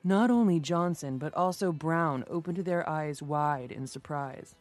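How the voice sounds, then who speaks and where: Woman reading a scripted story, low pitch, slow pace, faint music behind. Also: a little mellow. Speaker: narrator.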